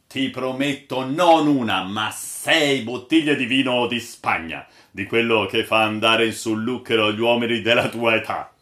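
A man voicing a commanding, impatient ship's captain in a storybook, loud and imperious. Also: mid-range voice, reverberant. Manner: imperious, loud, brisk orders.

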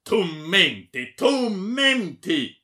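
A man's voice: medium pitch, big pitch swings, creaky, exaggerated voice. A man voicing a furious, anguished baron, imperious and powerful, in a dramatic story reading. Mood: enraged. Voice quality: powerful, forceful. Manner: imperious, shouting, drawn-out threatening words. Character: furious anguished baron, commanding nobleman, male.

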